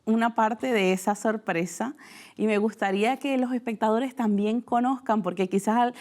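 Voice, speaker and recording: Venezuelan woman hosting a faith talk show, fairly high-pitched, leans in with questions. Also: normal speed. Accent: Venezuelan Spanish. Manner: warm conversational questioning, frequent "mira". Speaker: host. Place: TV studio.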